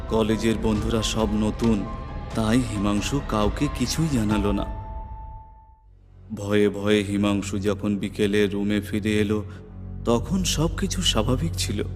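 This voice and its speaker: Male horror narrator over prominent music. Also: medium pitch. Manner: suspenseful storytelling. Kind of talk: scripted dramatic horror narration.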